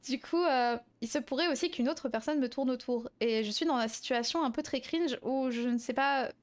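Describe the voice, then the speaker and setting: A woman's voice: sits high, normal speed. A woman roleplaying a character in casual improvised chat, speaking in youthful, colloquial slang.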